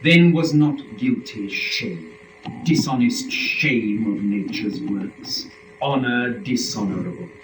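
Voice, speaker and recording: A man reciting and narrating epic poetry in a measured, dramatic manner with weighty pauses between phrases. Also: medium pitch, animated, music in the background. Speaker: performer and narrator of a poetry reading. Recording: roomy live recording, distant from microphone.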